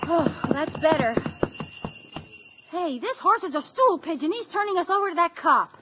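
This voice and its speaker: A woman with an American accent playing an eager, scheming, playful housewife in a 1940s radio comedy, excitable and wheedling. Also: very high-pitched, moderate pace, dull audio. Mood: exasperated. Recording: noisy vintage radio recording, live studio audience.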